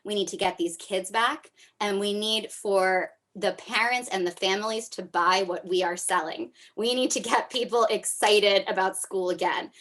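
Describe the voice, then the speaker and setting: A woman's voice: mid-range voice, normal speed, few pauses, a bit bright. A woman running for a school council, answering at a candidate forum over a video call, earnest and repeating her points.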